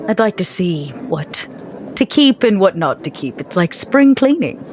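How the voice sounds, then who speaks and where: A genteel female vampire acted by a woman at a gaming table, reserved and probing. She speaks in measured, dry phrases at a middle pitch, with faint noise behind her. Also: big pitch swings. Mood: probing, curious.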